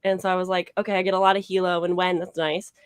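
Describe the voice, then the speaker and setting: A woman's voice: on the low side, little pitch movement, quick pace, smooth, dry recording, studio quality. A woman giving her opinions casually as a book club guest on a video call. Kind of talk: casual book discussion chat. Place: indoor room with bookshelves, video call.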